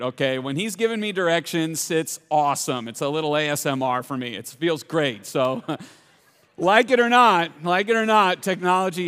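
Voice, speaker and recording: Male pastor, forties, high voice, energetic and playful, rhetorical questions, reverberant church hall. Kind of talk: sermon. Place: large church auditorium.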